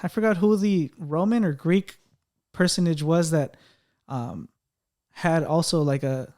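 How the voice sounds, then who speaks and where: A man with a fairly high voice and a slight stutter, hosting a podcast in casual conversation. He talks animatedly, with frequent restarts, and slips into other people's voices to make his point. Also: measured pace, a little mellow. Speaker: podcast host. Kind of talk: casual chat.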